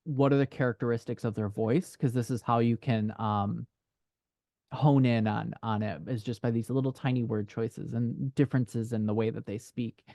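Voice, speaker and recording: A man speaks at a middling pitch in a close, dry recording. He coaches a writer in casual conversation, feeling his way through each point with halting, hedged phrasing as he thinks aloud. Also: steady tone, warm tone, dry recording. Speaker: dialogue writing coach.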